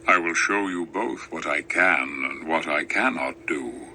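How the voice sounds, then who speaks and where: A majestic storybook lion, as a male reader puts him on, pitched well down low. He speaks with solemn, measured gravity and a gentle, kingly authority. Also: slight background noise, heavily acted. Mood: gentle, grave. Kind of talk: dramatized storybook reading.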